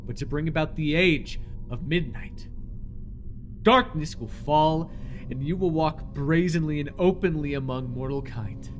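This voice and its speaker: Man acting out slow, intense, fanatical cult leader. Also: on the high side. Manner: theatrical, intense, stammering when shaken. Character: fanatical, desperate cult leader devoted to a dark master. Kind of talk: immersive audio roleplay acting.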